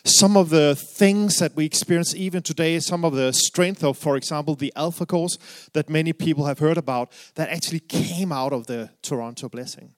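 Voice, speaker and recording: Middle-aged Danish man, earnest preacher, slightly raised voice, halting delivery. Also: fairly high pitch. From Denmark. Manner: earnest, halting with fillers and restarts. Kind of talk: sermon.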